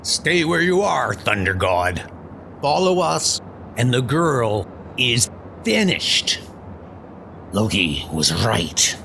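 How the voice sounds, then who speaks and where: A man's voice: fairly low pitch, vocal fry, pushed and bright, a little hiss or hum, voice pushed down, full character voice. A man playing a menacing, cruel supervillain brute who gloats and commands in a comic-book dub. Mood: threatening.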